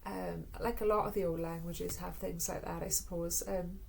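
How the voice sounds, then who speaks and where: A woman with a Welsh accent giving a spiritual card reading, chatty and rambling with restarts, calling her listeners my loves, now and then dropping to a hushed whisper. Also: fairly low pitch, steady tone, vocal fry, breathy edge. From Wales. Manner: slow measured reading aloud from a card guidebook; drifts between quick talk and hushed whispering. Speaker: tarot card reader. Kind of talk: casual spoken card reading.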